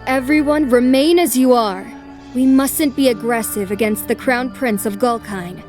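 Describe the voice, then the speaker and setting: Woman acting a poised, fairly high-voiced young noblewoman. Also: normal speed, music in the background, close to an everyday voice. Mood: composed. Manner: formal, calm, resolute. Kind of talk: anime fan dub acting.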